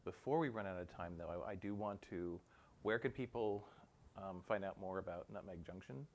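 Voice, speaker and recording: A man's voice: low-pitched, brisk, darkish tone, a little hiss or hum. A man hosting a show in a casual, conversational style. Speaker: show host. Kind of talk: casual talk show chat.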